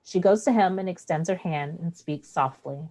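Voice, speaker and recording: Low-voiced woman narrating stage directions. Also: normal speed, warm tone. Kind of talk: scripted narration.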